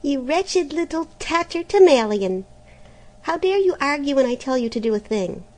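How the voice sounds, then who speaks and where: Spoiled older sister Helena, shrill and bossy, snapping orders in a high, loud voice, as a woman narrator puts her on. She sounds sulky and threatening. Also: animated, unhurried. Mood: furious.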